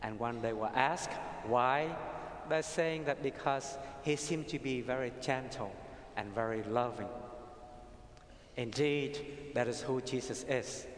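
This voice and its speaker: Middle-aged Vietnamese-accented priest preaching, unhurried storyteller; roomy cathedral with long reverb. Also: male voice, mid-range voice, a little hiss or hum. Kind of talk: sermon. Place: large cathedral.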